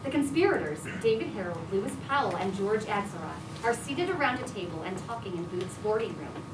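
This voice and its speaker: Lively-pitched woman reading stage directions, echoey. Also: fairly quick. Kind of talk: scripted narration at staged reading. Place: indoor restaurant staged reading. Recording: echoey live restaurant, distant, noisy.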